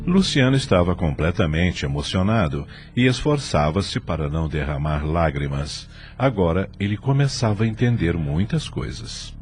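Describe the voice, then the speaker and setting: Deep-voiced, solemn Brazilian male narrator. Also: sing-song, normal speed, hardly any pauses, over music. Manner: solemn, literary, sentimental. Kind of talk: dramatic scripted narration.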